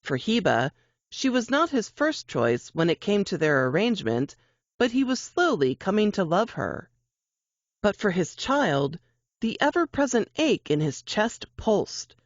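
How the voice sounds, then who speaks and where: A woman reading fiction aloud as a narrator, in an expressive, suspenseful, audiobook-style delivery. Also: mid-range voice, a little slow, dry recording, muffled recording.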